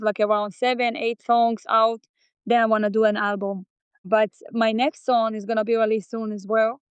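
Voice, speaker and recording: Israeli-born woman, guest singer; very smooth, slightly high voice, dull dry recording. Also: no echo. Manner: earnest, loose non-native English grammar. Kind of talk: casual interview chat. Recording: dull, dry close-mic audio.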